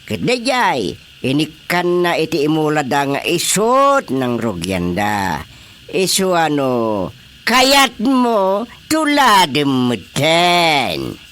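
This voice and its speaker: A woman's voice: low-pitched, sing-song, slow, fairly bright tone, a little hiss or hum, heavily acted. A woman with an Ilocano Filipino accent playing an easygoing, amused, placating village chairman in a radio drama comedy. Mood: explaining.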